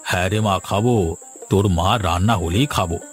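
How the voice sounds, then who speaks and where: A man playing a gentle, henpecked village father in a fairy-tale cartoon, speaking in a mild, placating, weary way. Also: sits low, brisk, soft background music, close to an everyday voice. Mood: weary tenderness.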